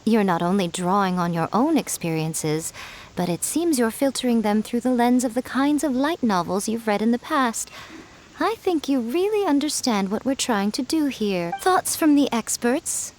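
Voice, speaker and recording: A woman's voice: fairly high pitch, animated, normal speed, darkish tone, slight background noise, natural voice. An actress voicing a sly, self-assured fox-spirit woman in a video game, teasing and worldly as she mentors. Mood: approving. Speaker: publisher and mentor.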